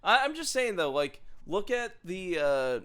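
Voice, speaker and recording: A man's voice: sits high, very animated, unhurried, a bit bright, smooth. A man on a podcast panel, chatting casually and offering opinions freely. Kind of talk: casual chat.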